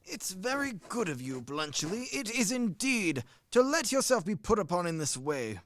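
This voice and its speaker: A man's voice: high voice, very animated, moderate pace, a bit bright, a little hiss or hum. A man acting a blustering, genial old army major in a radio play, with a hearty, theatrical manner. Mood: genial, contented.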